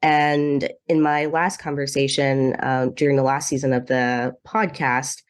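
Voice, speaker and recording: A woman's voice: a bass voice, little pitch movement, unhurried, clear, resonant tone. A young adult woman hosting a podcast, talking casually with many fillers. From Zambia, raised in the US. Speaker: podcast host. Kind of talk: casual interview chat.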